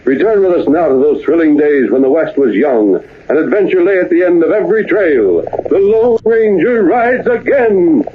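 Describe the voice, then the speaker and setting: A man's voice: fairly high pitch, big pitch swings, normal speed, narrow, tinny audio. A thin, hissy old radio recording of a stirring Western adventure narrator. He declaims in the grand style of 1930s radio drama.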